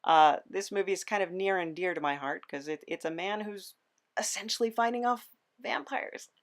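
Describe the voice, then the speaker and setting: A woman's voice: mid-range voice, moderate pace. A woman hosting a conversational podcast interview, thoughtful and a little hesitant as she forms her questions.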